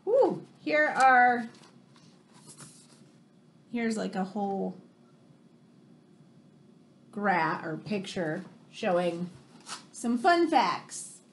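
An American woman in her thirties reading a picture book aloud like a teacher to children, expressive and exclamatory, with chatty questioning asides. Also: medium pitch, very slow, pauses often. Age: 30s. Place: room in a home, indoors.

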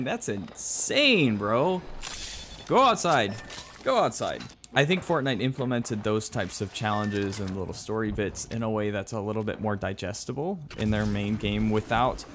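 A man's voice: medium pitch, a bit bright, slight background noise. A man giving casual, conversational and opinionated commentary as a video game streamer.

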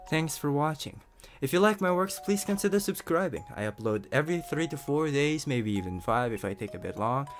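A man's voice: a little high, animated, a little fast. A man giving a casual outro to his viewers as a video creator. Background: music.

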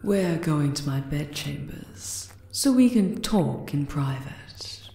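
Actress as monster queen, fantasy ASMR: low, very slow, majestic yet affectionate, roomy sound. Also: lively intonation, mellow, heavily acted. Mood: teasing. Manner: regal, tender, deliberate. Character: regal, affectionate, teasing spider monster queen. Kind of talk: ASMR roleplay acting. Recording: roomy echo on the voice.

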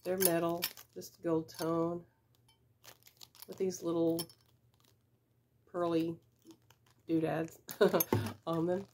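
Woman in her fifties, lowish voice, a bit stuffy from a cold; easygoing reseller chat at desk distance, faint hiss behind. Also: little pitch movement. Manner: chatty, unhurried, with small laughs and fillers. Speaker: reseller vlogger. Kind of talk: casual unboxing chat. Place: small room with shelves of boxes, indoors. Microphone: camera at desk distance.

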